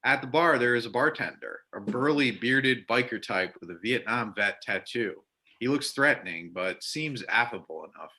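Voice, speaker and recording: A man's voice: mid-range voice, lively intonation, moderate pace, sharp tone, low-quality recording. A man reading scripted narration and stage directions for a readers theater play.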